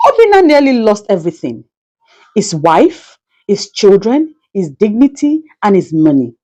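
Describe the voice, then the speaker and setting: A woman's voice: mid-range voice, big pitch swings, moderate pace, dark tone, muffled recording. A woman narrating a folktale as a scripted, dramatic storyteller who speaks straight to her listeners. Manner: addresses listeners directly, proverbial.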